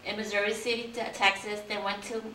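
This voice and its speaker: A woman in her forties from Vietnam recounts her path in an earnest, even way, her voice bright and forward. The mic sits some way off in a reverberant room, with a faint hiss underneath. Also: mid-range voice, brisk, distant mic. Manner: earnest, steady storytelling. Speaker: city council candidate. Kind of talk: interview answers, life story.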